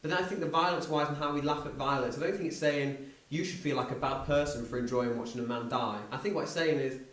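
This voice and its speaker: A man's voice: mid-range voice, quick pace, a bit bright. A man speaking as a podcast host in a casual film discussion. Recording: roomy echo, distant from mic.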